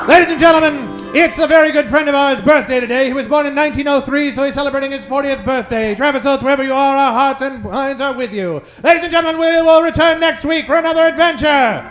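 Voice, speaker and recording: A man's voice: very high-pitched, quick pace, few pauses, fairly bright tone. A man narrating and announcing in a grandiose, projected old-time radio serial style. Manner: grandiose, projected showman delivery. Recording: live stage with music and crowd.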